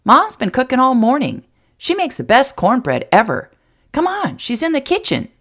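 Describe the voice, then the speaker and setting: A woman voicing an excitable young boy who whines and begs, high and pleading. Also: normal speed, exaggerated voice. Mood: eager whiny.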